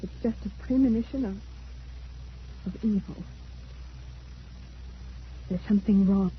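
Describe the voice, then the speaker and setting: A woman's voice: mid-range voice, a little slow, lightly acted. An actress playing an anxious, earnest young woman, frightened yet resolute, in an old radio drama. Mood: uneasy, hesitant. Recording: dull, faintly hissy old radio recording.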